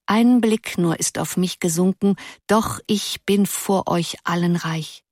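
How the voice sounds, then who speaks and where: A woman voicing a willful, dreamy teenage schoolgirl who speaks emphatically and declaims verse softly. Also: on the low side, slow pace, no room sound, studio quality. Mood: declaiming.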